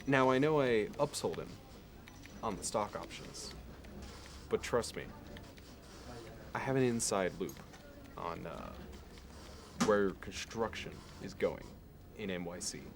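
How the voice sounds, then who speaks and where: Casting: man performing slick Wall Street dealmaker for ASMR roleplay, lowish voice, fussy polish, salesman's condescension, noticeable noise behind. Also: expressive, slow, gravelly, pauses often, exaggerated voice. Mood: confident, selling. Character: smooth, obsessive, status-minded male Wall Street banker.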